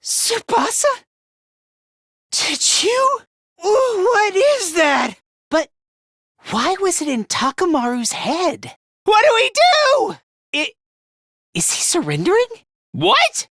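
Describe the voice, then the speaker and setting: A timid, self-loathing young male fighter from an anime-style game. The voice sits very high and swings widely in pitch, yet takes its time between stammers. He runs himself down and is always close to panic. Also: huge pitch swings, slow pace, pauses often, pushed and bright, dry recording, full character voice. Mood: panicked. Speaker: video game voice actor.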